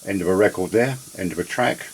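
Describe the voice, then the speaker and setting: A man talks through a casual gear demo in an unscripted, rambling way. His delivery is chatty and halting. There is faint noise and some clicking in the home recording. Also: low voice. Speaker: presenter demonstrating vintage audio gear. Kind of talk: casual unscripted product demonstration.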